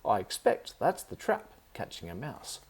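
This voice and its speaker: A man's voice: fairly low pitch, wildly swooping pitch, a little slow, breathy edge, full character voice. Guy reading as a careless happy-go-lucky bloke in a kids story, pleased with himself, voice bounces around a lot. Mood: casual. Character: lazy, careless, cheerfully scatterbrained man.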